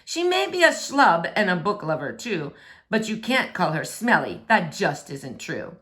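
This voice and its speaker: A woman's voice: lowish voice, very animated, measured pace, a bit bright. A woman voicing an indignant king and blunt father in a rhyming storybook, stiff and formal in protest. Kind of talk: storybook read-aloud in rhyming verse.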